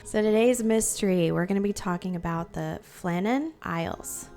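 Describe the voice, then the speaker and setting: A young woman hosting a casual mystery podcast, a bit hoarse from losing her voice, chatty and quick to giggle. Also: lowish voice, mellow. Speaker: podcast host. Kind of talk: casual storytelling chat.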